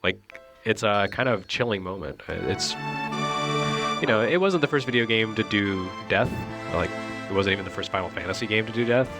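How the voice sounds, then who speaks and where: Low-voiced sardonic man over game music. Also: fairly quick. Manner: rambling, sardonic. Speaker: reviewer and co-host. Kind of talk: casual joking commentary on video game cutscenes.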